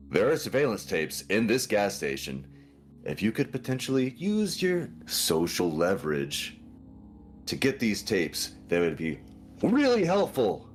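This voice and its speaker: Man acting as ingratiating male FBI agent, tabletop game, deep voice, lively intonation, overly polite, music behind. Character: smarmy, overfriendly male FBI agent buttering up a policeman.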